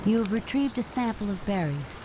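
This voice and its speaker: A woman's voice: medium pitch, lively intonation, brisk, dark tone, slight background noise, lightly acted, poor audio. A woman playing an impersonal game narrator, reading scripted descriptions and menu prompts. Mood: neutral, informative.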